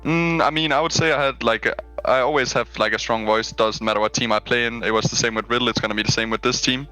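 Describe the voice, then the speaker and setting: Young man with a bright voice on a headset. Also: medium pitch, fast talker, faint music behind, low-quality recording. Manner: relaxed, filler-heavy, frank. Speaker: esports player. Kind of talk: post-match interview. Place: small room at home. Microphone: headset on a webcam call.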